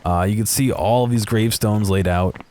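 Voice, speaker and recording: A man giving casual, unscripted video game commentary in a halting, hesitant way. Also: on the low side, darkish tone, a little hiss or hum. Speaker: gaming video creator. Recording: voice-over with game music behind.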